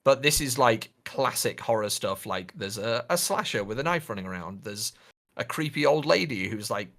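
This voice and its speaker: A man's voice: medium pitch, lively intonation, moderate pace, vocal fry, fairly bright tone. A man co-hosting a podcast in casual chat, informal and hedging as he thinks aloud. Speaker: podcast co-host.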